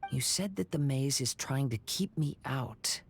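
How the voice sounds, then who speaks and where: Calm, creaky-voiced young male wanderer. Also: medium pitch, full character voice. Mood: calm, reflective. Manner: measured, reflective, gentle phrasing. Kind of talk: scripted game cutscene dialogue.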